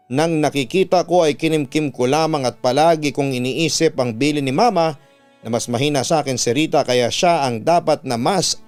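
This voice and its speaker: A Filipino man narrates a personal story in a dramatic radio announcer's style. His voice sits a bit high for a man and is clear and resonant. Music plays behind him. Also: a little mellow. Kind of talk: dramatic narration of a listener's letter in first person. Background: background music.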